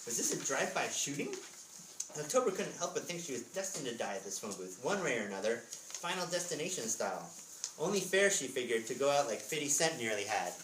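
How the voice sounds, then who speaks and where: A man reads a story aloud in an expressive storybook style, slipping into casual asides. His voice sits at a middling pitch and is picked up from a distance in an echoey room with faint noise. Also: brisk. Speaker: author reading from his book at a school readers theatre.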